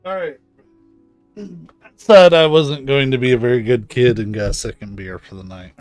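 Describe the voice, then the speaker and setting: A man's voice: medium pitch, normal speed, no room sound, low-quality recording. A man giving casual, chatty, wry commentary as he streams a game. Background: soft game music.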